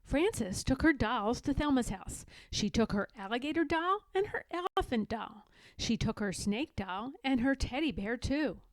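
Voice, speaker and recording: Animated high-voiced woman reading a storybook. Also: unhurried, no room sound. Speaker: storybook reader. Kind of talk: expressive children's read-aloud.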